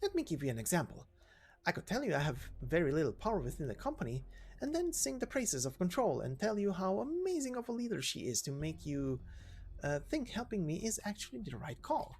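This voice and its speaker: A woman playing a lively, scheming female director. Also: lowish voice, fairly quick. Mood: coolly persuasive. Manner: persuasive, teasing, matter-of-fact. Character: smooth scheming female corporate director, playful and businesslike. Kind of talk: dramatic game story reading.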